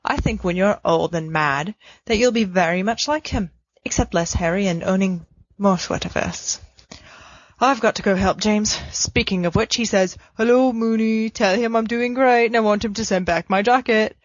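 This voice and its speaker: A woman's voice: mid-range voice, normal speed, no room sound. A woman reads a letter from a fan story aloud, playful and theatrical, her voice leaping up and down. The sound is dry and dull, like a lo-fi home recording. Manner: playful, theatrical reading with big swings. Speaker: podfic reader.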